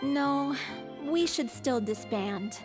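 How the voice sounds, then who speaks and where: A woman voicing a frail, gentle, self-deprecating young stage actress in a video game, modest and soft-spoken. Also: high voice, animated, takes it slowly, music in the background. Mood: gentle, wistful.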